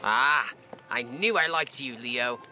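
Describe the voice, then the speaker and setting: A man acting a bright, breezy young lordling. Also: mid-range voice, slow pace, faint music behind. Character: breezy, privileged young lord's son, friendly with servants. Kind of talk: audio drama acting.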